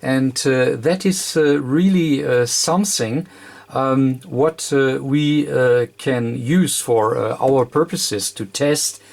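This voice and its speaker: A presenter giving an unscripted technical explanation in a very hesitant, halting way, with constant ums and uhs between short phrases. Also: medium pitch, vocal fry, a little mellow. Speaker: presenter of an electronics repair tutorial.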